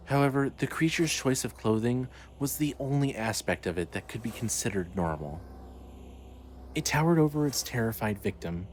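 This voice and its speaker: A man's voice: mid-range voice, a little hiss or hum. A man narrating a scripted horror story as the storyteller of an audio drama.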